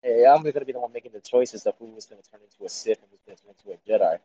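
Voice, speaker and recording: A man chatting on a gaming podcast, hesitant and quick to laugh. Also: medium pitch, warm tone. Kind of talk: casual gaming podcast chat. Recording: dull lo-fi mic.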